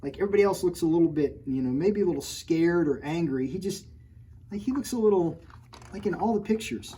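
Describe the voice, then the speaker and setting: A man's voice: a little high, lively intonation, a little fast, mellow, husky, poor audio. A man reading his own picture book aloud to children at storytime, joking with them and putting on mock outrage at his own story. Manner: playful, jokey, mock-outraged, talking straight to children. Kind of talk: storytime read-aloud with playful asides to kids.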